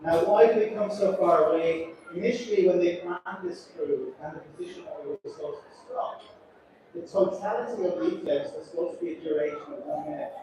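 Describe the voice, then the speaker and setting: A man's voice: on the high side, a little fast. A man, a cruise ship captain, giving a chatty, joking announcement to passengers. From Ireland. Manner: chatty, joking, self-deprecating. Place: large echoey atrium on a cruise ship. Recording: echoey hall from far off, crowd noise.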